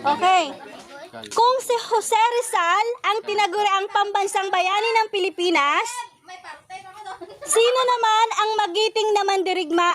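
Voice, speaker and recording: A woman's voice: extremely high pitch, measured pace, fairly bright tone. A woman with a Filipino accent hosting a quiz, reading out trivia questions in set formal wording. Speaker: quiz host.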